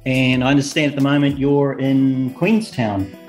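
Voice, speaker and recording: A man's voice: mid-range voice, steady tone, moderate pace, faint music behind. A man hosting a podcast interview, friendly and prompting his guest with short backchannels. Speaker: podcast host.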